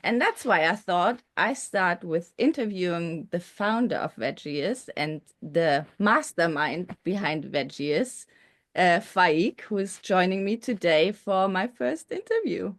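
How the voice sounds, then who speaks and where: A woman's voice: fairly low pitch, lively intonation, slow. A woman hosting a personal interview, introducing and asking questions in a conversational style.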